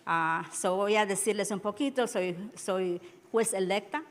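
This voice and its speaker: Hesitant woman in a big hall. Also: medium pitch. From Ecuador. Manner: halting, many restarts and fillers. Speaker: judge giving a panel talk. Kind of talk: conference speech, switching between English and Spanish. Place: large conference hall. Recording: reverberant conference hall.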